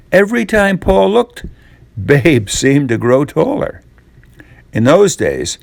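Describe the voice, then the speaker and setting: An older man reading a storybook tale for children in a deliberate way, with dramatic pauses. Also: mid-range voice, gravelly, dry recording.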